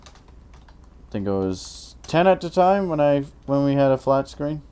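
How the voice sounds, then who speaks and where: A tired man in his twenties with a naturally deep voice, rambling through casual commentary while he builds in a game, full of fillers and restarts. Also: sing-song, a little slow, warm tone, clean tone. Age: 20s. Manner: rambling, hesitant, many fillers. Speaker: Minecraft YouTuber.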